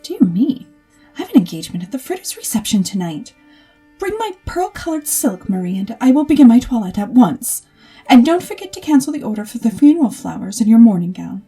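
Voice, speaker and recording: A spoiled young society lady played by an actress, very mellow and breathy. Her voice sits a little high, and she speaks in an airy, imperious theatrical way for radio drama. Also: big pitch swings, a little fast, soft background music, heavily acted. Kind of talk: theatrical audio drama acting.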